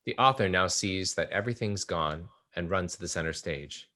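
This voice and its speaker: A man reading the stage directions aloud at a play table read, in a plain, measured manner. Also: sits low, sharp tone.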